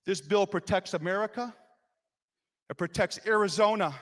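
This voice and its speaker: A man who is a state legislator, giving an impassioned floor speech to explain his vote, rising to loud emphasis. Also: high voice, normal speed. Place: legislative chamber. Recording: long reverb in a legislative chamber.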